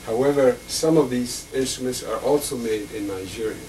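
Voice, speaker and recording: A man's voice: mid-range voice, moderate pace, dark tone. A man, patiently explaining instruments as he handles them and answering interview questions about his life. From Egypt. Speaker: musician and lecturer. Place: indoor room full of instruments, then an office with bookshelves. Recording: distant, hissy old videotape.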